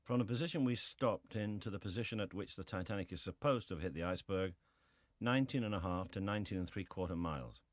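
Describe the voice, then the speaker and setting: A man acting as a ship's captain on the witness stand, with a low voice recorded dry. He is clipped, restrained and matter-of-fact, in the style of dramatized testimony. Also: fast talker, dry recording, lightly acted. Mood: terse, restrained. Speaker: actor reading hearing testimony. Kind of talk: dramatized reading of historical testimony.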